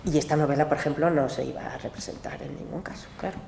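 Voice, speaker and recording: A woman's voice: deep voice, steady tone, fast, vocal fry, roomy sound, background noise. A woman translator talking candidly and with life at a book club, laughing often. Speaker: literary translator.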